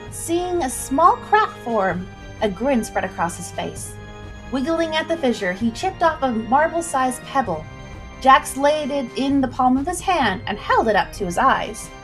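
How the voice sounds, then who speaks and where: A woman storyteller reading a scripted adventure tale in an expressive, suspenseful manner, with music behind her. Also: on the high side, low-quality recording. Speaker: storyteller, livestream host. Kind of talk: dramatic scripted story narration.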